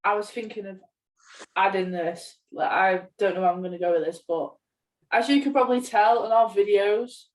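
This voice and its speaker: A woman's voice: medium pitch, normal speed, distant mic, rough recording. An eighteen-year-old young woman co-hosting a podcast, chatting casually about gaming. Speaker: podcast co-host.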